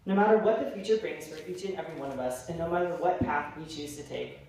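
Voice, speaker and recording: A man's voice: on the high side, fast talker, a little mellow. A young man in his late teens delivering a formal, prepared farewell speech as a graduating senior. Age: late teens, young adult. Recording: very echoey hall, distant mic, faint noise.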